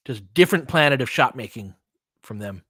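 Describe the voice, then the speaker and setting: A man's voice: mid-range voice, animated, fairly quick, bright, forceful tone, slightly rough, dry recording, studio quality. A man doing casual live basketball commentary, switching between clipped play calls and conversational analysis of the game.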